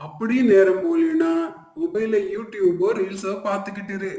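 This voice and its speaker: Traditional family shopkeeper for cartoon tale male actor: firm, worried, high for a man, echoey. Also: expressive, moderate pace, dark tone. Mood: stern. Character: men of a traditional family shop, stern, worried. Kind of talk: cartoon moral story acting.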